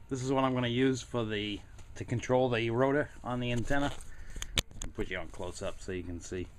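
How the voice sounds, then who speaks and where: A man casually narrating a hands-on hobby project in a plainspoken, explanatory way. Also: mid-range voice. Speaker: hobbyist presenter. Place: home workshop and outdoor driveway. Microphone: handheld camera, close to speaker.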